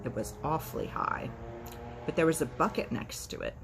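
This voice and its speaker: Animated, low-pitched woman reading a storybook. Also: moderate pace, gravelly, faint music behind. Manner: wry, irreverent asides. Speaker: host reading a children's book aloud. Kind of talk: casual vlog chat and animated storybook read-aloud.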